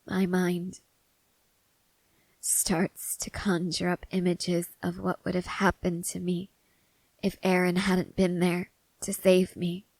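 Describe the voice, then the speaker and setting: Woman acting out a brooding young soldier girl, asmr roleplay, low-ish even voice, halting and haunted. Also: dry recording, rough recording. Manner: slow, halting, brooding delivery with long pauses. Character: young woman soldier, haunted, restless, grieving her lost family. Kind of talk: intimate ASMR character roleplay.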